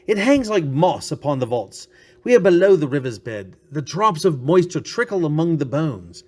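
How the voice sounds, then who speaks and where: A man acts out a cunning gothic aristocrat feigning concern. The delivery is formal and solicitous, fairly high in pitch with big melodic swings. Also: big pitch swings, normal speed, darkish tone. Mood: feigned concern. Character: cunning vengeful nobleman feigning friendly concern.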